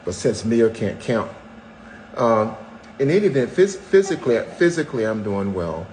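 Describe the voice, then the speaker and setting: A man's voice: medium pitch, sing-song, moderate pace, darkish tone, slight background noise, poor audio. A man in his seventies chatting candidly on a podcast, telling stories with wry, self-mocking jokes. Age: 70s. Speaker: podcast co-host, husband. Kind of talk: casual chat.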